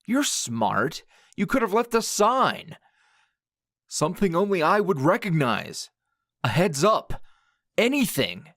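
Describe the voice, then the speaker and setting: A man playing a grouchy, wounded anime hero in a dramatic ASMR roleplay, sardonic and halting in manner. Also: high voice, wildly swooping pitch, fairly bright tone, dry recording, studio quality, exaggerated voice. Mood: bitter, sarcastic. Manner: sardonic, halting, emotionally raw.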